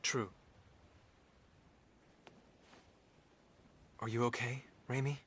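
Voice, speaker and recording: A man's voice: mid-range voice, flat delivery, fairly quick, fairly bright tone, breathy edge. A man playing an earnest, hot-blooded young crew leader in a video game. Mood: concerned. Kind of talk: dramatic video game acting. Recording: game music behind the voice.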